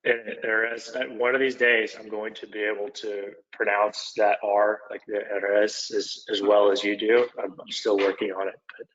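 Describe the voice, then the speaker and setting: A man with an Oklahoma accent, a product manager presenting a webinar in a relaxed, conversational way with frequent fillers. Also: lowish voice, normal speed. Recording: dull lo-fi webinar audio.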